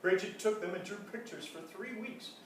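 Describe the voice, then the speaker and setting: A man reading a children's storybook aloud in an animated style, with casual asides. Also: high-pitched, normal speed. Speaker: storybook reader. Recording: echoey room, distant mic, faint noise.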